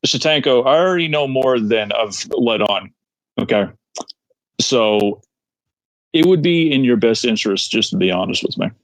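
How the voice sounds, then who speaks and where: A no-nonsense FBI agent, played by a man who interrogates calmly and presses for the truth. His voice sits at a middle pitch. It is recorded close and dry. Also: normal speed, no echo, natural voice, rough recording. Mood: probing. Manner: firm, calm, interrogating.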